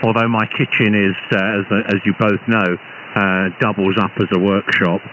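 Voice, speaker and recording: A British man, an amateur radio operator, chatting casually over a shortwave radio link in a halting, hesitant way with repeated false starts. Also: on the low side, little pitch movement, pushed and bright. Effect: radio transmission. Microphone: radio transceiver, received over shortwave. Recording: muffled, noisy shortwave ham radio signal.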